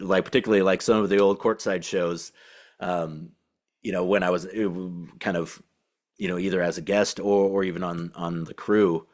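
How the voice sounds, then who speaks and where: Low-voiced, rambling male sports podcast host. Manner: rambling, halting, filler-heavy. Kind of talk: casual reflective commentary.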